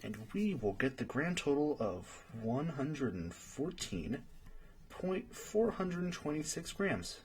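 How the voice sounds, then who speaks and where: A man's voice: on the high side, sing-song, slow pace, slight background noise. A man playing a friendly young friend in an ASMR roleplay, patiently tutoring and thinking aloud in a hesitant way. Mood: encouraging, explaining. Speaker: study partner.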